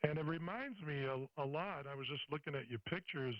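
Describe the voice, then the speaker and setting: A man's voice: on the high side, brisk. An American man from Massachusetts chatting casually and enthusiastically as an amateur radio operator. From Massachusetts, United States. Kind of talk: casual ham radio chat. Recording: roomy, slightly muffled radio-shack audio.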